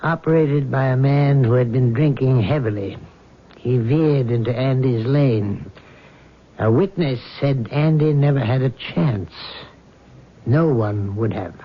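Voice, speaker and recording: An American actor playing a calm, firm, reassuring doctor in a 1970s radio drama. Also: male voice, mid-range voice, a little slow, lightly acted. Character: calm reassuring male doctor treating a haunted young woman. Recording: dull lo-fi 1970s radio recording.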